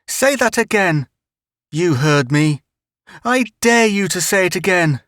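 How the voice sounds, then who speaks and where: A man's voice: sits high, expressive, a little slow, a bit bright, no room sound, clean studio sound, voice pushed up. A man doing a shrieking, furious boy. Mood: taunting. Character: angry shouting young boy bully.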